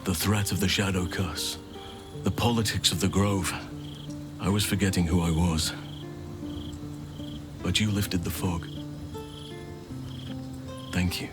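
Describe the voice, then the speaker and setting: An actor playing a gentle, long-lived druid in a video game, speaking earnestly in a measured, formal, tender way. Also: male voice, low-pitched, steady tone, loud music behind the voice. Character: ancient, gentle, earnest male druid confessing love. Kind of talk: sincere video game acting.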